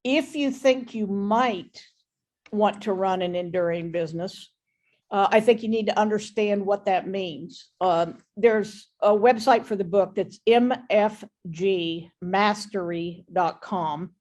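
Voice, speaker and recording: A woman with a mid-pitched voice talks frankly as a guest business expert. She states her opinions openly in a conversational style, speaking in an online meeting. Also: slow pace, a little mellow.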